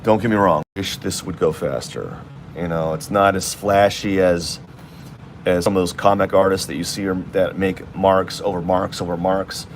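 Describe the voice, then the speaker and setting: Male artist on a live stream, low voice, loose and rambling, talks through his work as he goes. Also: fairly even intonation, moderate pace, a little hiss or hum. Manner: rambling, thinking aloud with restarts. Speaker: artist streaming while drawing. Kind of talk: casual live-stream commentary.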